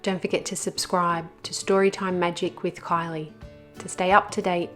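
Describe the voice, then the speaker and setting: A woman's voice: lowish voice, little pitch movement, moderate pace, a bit bright, soft background music. A woman narrating a children's picture book expressively, as a read-aloud host.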